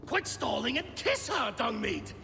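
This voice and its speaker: An actor playing a crude, impatient warrior god in a video game, with a loud, coarse, bellowing delivery. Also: male voice, very high-pitched, big pitch swings, unhurried, gravelly, heavily acted. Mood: impatient, rowdy. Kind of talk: dramatic video game acting. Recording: long reverb, faint music behind.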